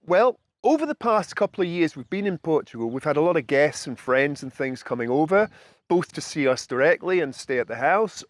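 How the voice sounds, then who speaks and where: A man's voice: a little high, no room sound. A middle-aged man with a Scottish accent, giving a casual, explanatory talk to camera as a channel host. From Scotland. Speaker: host of a food and travel channel. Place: outdoors on a house balcony.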